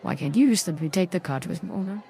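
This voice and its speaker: An actress playing a young private detective in a video game, sardonic, guarded and formally polite. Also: female voice, fairly low pitch, expressive, fast talker, creaky, warm tone, a faint crowd. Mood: politely firm. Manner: dry, wry, formally polite. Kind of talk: video game dialogue and narration.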